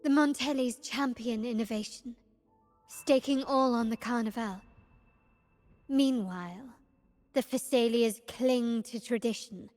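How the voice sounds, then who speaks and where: An actress playing a mysterious, poised woman who speaks in cryptic, measured riddles in a game. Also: fairly high pitch, a little mellow, long reverb tail. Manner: slow, measured, enigmatic. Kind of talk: game voice acting.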